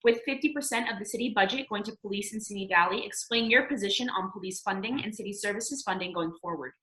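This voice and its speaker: Bright-voiced woman moderating in an echoey room. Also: mid-range voice, fast talker. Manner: apologetic, polite. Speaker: forum moderator. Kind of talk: moderating a virtual forum. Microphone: video call. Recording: echoey room on a video call.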